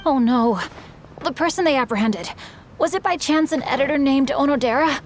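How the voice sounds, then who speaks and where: A woman's voice: high voice, moderate pace, slight background noise, natural voice. A woman playing a polite, bookish teenage boy novelist in a video game, speaking in formal, eloquent phrases. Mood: alarmed, urgent. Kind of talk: video game character voice acting.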